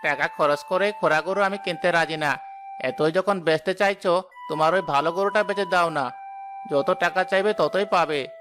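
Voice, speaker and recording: A man's voice: fairly high pitch, normal speed, background noise, close to an everyday voice. A man voicing a mocking, scornful village workmate in a cartoon, with a jeering manner. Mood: dismissive. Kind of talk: Bangla cartoon voice acting. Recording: music behind the voice.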